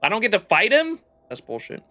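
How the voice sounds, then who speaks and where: A man doing casual livestream commentary on a game, excitable and rambling as he chats. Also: high voice, brisk. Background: game music.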